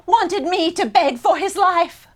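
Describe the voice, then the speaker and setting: An actress on a theater stage playing a bitter, wronged Victorian widow with a pointed, dramatic delivery. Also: female voice, very high-pitched, slow pace. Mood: bitter, incredulous. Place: theater stage before an audience.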